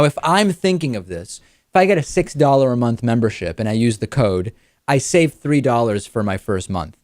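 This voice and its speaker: A man's voice: medium pitch, darkish tone, no room sound, clean studio sound. A man hosting a talk show, presenting in a conversational way and leaning on key phrases for emphasis. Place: studio.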